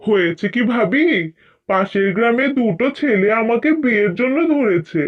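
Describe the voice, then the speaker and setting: A man voicing a busy, flattering village matchmaker in a cartoon, chatty and effusive, on a dull recording. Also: sits high, moderate pace. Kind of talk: Bengali cartoon fairy-tale acting. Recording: dull, lo-fi sound.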